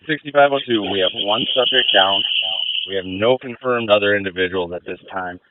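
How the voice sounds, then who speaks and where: A man's voice: on the low side, a little fast, heavy background noise. A male police officer giving terse, urgent field reports over a handheld radio. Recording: tinny police radio, cutting out.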